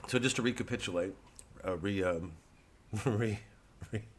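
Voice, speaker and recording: A man who is a comedian, talking casually to his audience in a halting, digressive monologue with repeated words. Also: lowish voice, slight background noise. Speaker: comedian, host.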